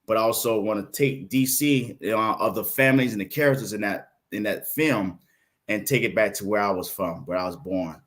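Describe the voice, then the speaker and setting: A man in his fifties from Florida with a Southern accent, a guest in a casual interview who tells lively stories and acts out quoted voices. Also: on the low side, normal speed. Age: 50s. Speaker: podcast guest, playwright.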